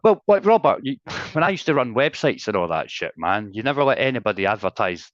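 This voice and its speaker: A Scottish man bantering on a livestream, his pitch swinging up and down as he teases and lectures. He swears freely and talks through a phone, so the sound is dull and lo-fi with little room around it. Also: big pitch swings, a little fast, dry recording. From Scotland. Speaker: guest co-host on a livestream. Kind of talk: casual banter.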